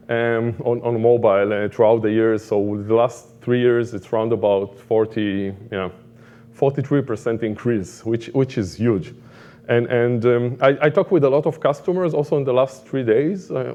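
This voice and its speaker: A man's voice: mid-range voice, warm tone, dull audio. A middle-aged Israeli man with an Israeli accent giving an informal conference talk, feeling his way through phrases with repeats and fillers. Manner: halting, repetitive phrasing with frequent fillers. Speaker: conference speaker, product management director.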